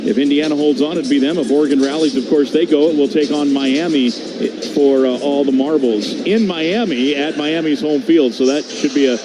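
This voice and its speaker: A man's voice: on the high side, moderate pace, rough recording. An American man hosting a live halftime show on sports radio as the play-by-play announcer. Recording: music under the voice.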